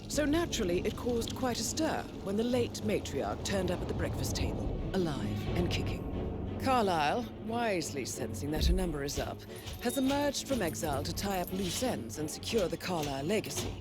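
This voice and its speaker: An actress playing a composed, dryly witty intelligence handler giving a poised, articulate mission briefing. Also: female voice, lowish voice, big pitch swings, normal speed. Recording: loud cinematic music behind.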